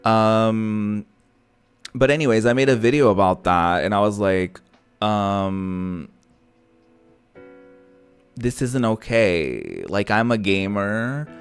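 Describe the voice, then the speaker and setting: A man's voice: fairly low pitch, animated, slow pace, long pauses, clean tone. A man who is a native Portuguese speaker, giving casual gameplay commentary in a sassy, opinionated way, swearing freely. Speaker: gaming content creator playing a visual novel on stream. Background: background music.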